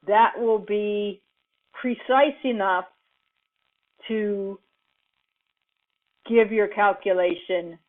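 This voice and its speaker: Slow-paced woman instructor on phone-like audio. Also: mid-range voice. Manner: halting, deliberate, pausing mid-sentence. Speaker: computing instructor. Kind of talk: informal lecture, explaining an assignment step by step. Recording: muffled, phone-like narrowband audio.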